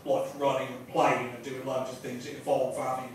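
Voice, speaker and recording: A man's voice: medium pitch, level tone. Sweary English stand-up man, distant echoey recording. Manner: sweary, halting, digressive, repeating himself. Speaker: stand-up comedian. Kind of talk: rambling observational stand-up routine to a live audience. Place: small live comedy venue in a pub. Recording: roomy, noisy, distant live club recording. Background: audience laughter and room noise.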